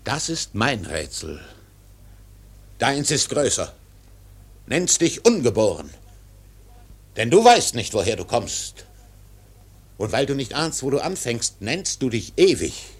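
An actor playing an obsessed, defiant old whaling captain in a radio drama, solemn and declamatory, with a gravelly, husky voice. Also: male voice, mid-range voice, sing-song, slow pace, pauses often, heavily acted. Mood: fierce, exalted. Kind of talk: literary radio drama monologue.

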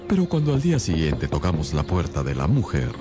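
Un actor que interpreta al leal compañero del detective y narra la historia en una radionovela, con música de fondo. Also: male voice, extremely low pitch, huge pitch swings, fairly quick, over loud music, full character voice. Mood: measured, storytelling. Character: Holmes's loyal companion, male narrator of detective tales. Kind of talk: dramatised radio storytelling.

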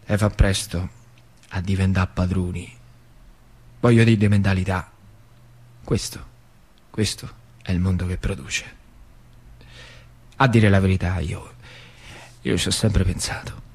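An actor playing a plainspoken, wistful older factory worker with a central Italian, Lazio accent, in a theatrical monologue with colloquial repetitions. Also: male voice, deep voice, fairly even intonation, measured pace, long pauses, slightly rough, exaggerated voice. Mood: wistful, brooding. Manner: colloquial, repetitive, dramatic swings from emphatic to hushed. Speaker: stage actor.

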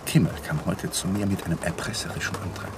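An actor playing a guilt-ridden, stubborn young lawyer in a radio crime drama, speaking earnestly. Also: male voice, sits low, fast talker, background noise.

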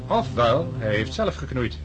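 A man's voice: mid-range voice, big pitch swings, measured pace, poor audio. A man playing a matter-of-fact hospital duty doctor in a radio drama. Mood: factual, concerned.

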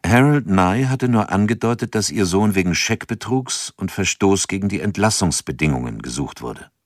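A man narrates a radio drama in a very deep voice, recorded dry and close. His delivery is measured and sober, in the manner of a formal scripted reading. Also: lively intonation, no echo.

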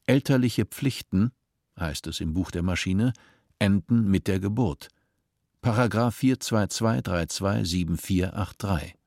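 A man reading scripted narration in a calm, measured way. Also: low-pitched, dry recording, clean studio sound.

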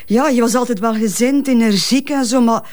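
A woman's voice: on the high side, brisk, darkish tone, natural voice. An actress playing a grieving, protective mother in a Flemish crime drama, speaking hesitant, colloquial West Flemish with repeated words. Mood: hesitant, subdued.

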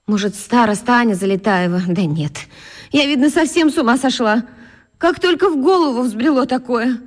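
An actress playing an anxious, soft-hearted schoolteacher who questions herself in agitated short bursts in a children's radio drama. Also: female voice, a little high, animated, moderate pace, natural voice. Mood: worried, doubtful.

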